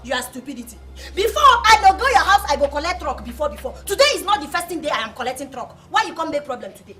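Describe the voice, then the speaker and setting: A woman's voice: high-pitched, big pitch swings, fast talker, roomy sound, music in the background. A woman with a Nigerian accent playing a hot-tempered, boastful village woman who scolds and threatens in pidgin English. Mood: scolding. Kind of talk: comedy skit acting.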